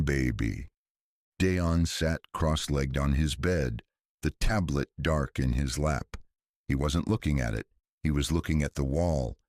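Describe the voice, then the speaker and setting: A man narrating a science fiction thriller audiobook in a measured, deliberate storytelling style. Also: extremely low pitch, a little mellow, no echo, studio quality.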